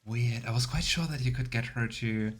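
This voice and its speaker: A man's voice: medium pitch, moderate pace. A man hosting a live let's play, chatting casually and thinking aloud as he comments. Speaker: streamer, let's play host.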